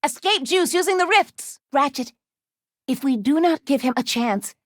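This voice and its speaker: A woman voicing a gentle, anxious young female robot in a video game, speaking formally and precisely with careful diction. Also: sits high, expressive, normal speed, no room sound, clean studio sound. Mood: earnest, concerned. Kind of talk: video game character voice lines.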